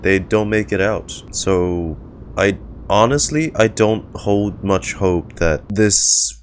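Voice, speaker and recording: A streamer giving casual reaction commentary on a game, blunt and excitable. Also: low voice, slow, a little hiss or hum.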